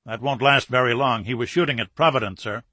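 A man voicing an alert submarine crewman who reports crisply and urgently in an audiobook. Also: mid-range voice, a little fast, bright, forceful tone, no room sound. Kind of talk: audiobook character acting.

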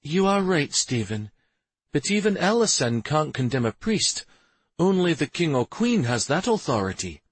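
Man voicing a mild, grave princess, raised pitch. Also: sing-song, husky, dry recording. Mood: earnest. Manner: mild, gentle, measured. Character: gentle, grave princess, mild but firm wife. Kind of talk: fantasy audiobook character dialogue.

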